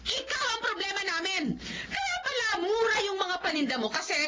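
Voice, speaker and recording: An actress playing an outraged, accusing Filipino customer, shrill and confrontational in radio drama style. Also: female voice, animated, brisk. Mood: furious. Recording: very noisy, dull audio.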